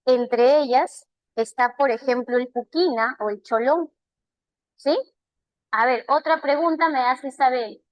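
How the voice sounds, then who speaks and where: A woman with a Peruvian Spanish accent leads a live online lesson, her voice high and bright. She speaks warmly, keeps asking "right?" to check understanding and praises students by name, and the sound is close and dry with no room echo. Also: measured pace, dull audio. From Peru. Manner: asks many rhetorical check questions. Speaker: language teacher. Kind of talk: live online class, answering student chat questions.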